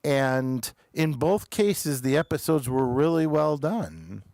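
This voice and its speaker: Slow-talking man hosting a casual review chat. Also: a little high, animated, gravelly, a little mellow, no echo, studio quality. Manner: halting, searching for words. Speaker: talk show host reviewing TV episodes.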